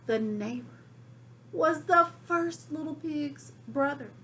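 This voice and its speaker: A woman's voice: high-pitched, animated, slow pace, sharp tone, slight background noise, full character voice. A woman reading a storybook aloud as a folksy wolf, chatty and dramatic, excusing himself with feigned innocence. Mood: sly, matter-of-fact. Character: folksy, self-excusing wolf telling his side. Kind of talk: storybook read aloud to children.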